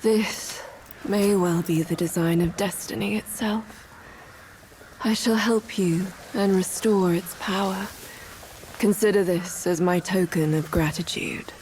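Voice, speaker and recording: A woman playing a wise, mysterious figure who speaks in hushed, measured tones in a game cutscene. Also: on the low side, fairly even intonation, slight background noise, rough recording. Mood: solemn.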